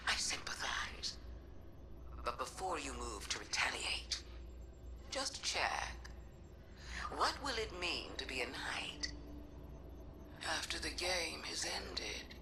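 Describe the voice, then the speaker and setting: A woman playing a scheming alien witch queen in a game cutscene, taunting and philosophical in a measured, theatrical, breathy delivery, with music underneath. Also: low voice, big pitch swings, noisy background, exaggerated voice. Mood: mocking sympathy. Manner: measured, theatrical, drawn-out musing. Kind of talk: scripted game cutscene monologue.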